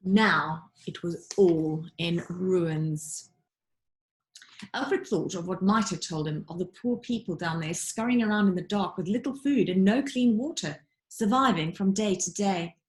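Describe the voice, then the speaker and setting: A woman narrating a children's storybook like a teacher reading aloud, with dramatic, deliberate emphasis. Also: lowish voice. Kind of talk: expressive children's audiobook narration.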